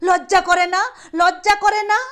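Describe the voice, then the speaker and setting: Woman playing a high-voiced, bitter sick daughter. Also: level tone, a little slow, fairly bright tone. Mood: furious. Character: sick, bitter young daughter, weak and resentful.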